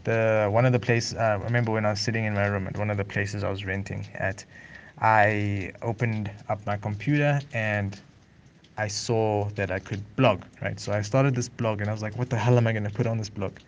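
Producer, thirties, lowish voice, unscripted and rambling, light background hiss. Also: male voice, fairly even intonation, a little fast, lo-fi sound. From South Africa. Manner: casual, rambling, many fillers and restarts. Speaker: music producer. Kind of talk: unscripted voice note monologue.

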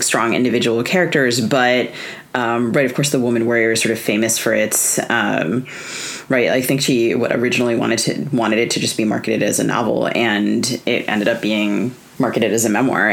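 Woman, podcast interviewer, conversational literary host, medium pitch for the show.

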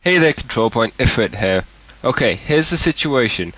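A man's voice: mid-range voice, expressive, normal speed, no room sound, rough recording. A man recording a listener question for a show, laying out a scenario. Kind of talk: recorded message posing a scenario. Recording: loud music under the voice. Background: loud music.

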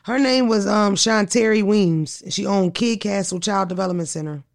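A woman with a deep voice hosting a podcast, telling stories in a blunt, profane, animated way. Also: normal speed, vocal fry. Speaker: podcast host. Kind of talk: casual chat.

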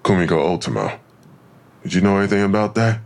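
An actor playing a probing, suspicious police detective in an audio drama, with a gravelly voice and a dry delivery. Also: male voice, low voice, lively intonation, fast. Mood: dry, insistent. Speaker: actor in a fiction podcast. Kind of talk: naturalistic audio drama acting.

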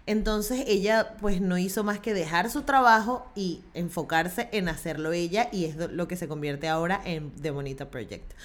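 A woman's voice: lowish voice, moderate pace, hardly any pauses. A woman hosting a podcast, talking with eager enthusiasm and lots of fillers. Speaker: podcast host. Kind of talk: casual podcast chat.

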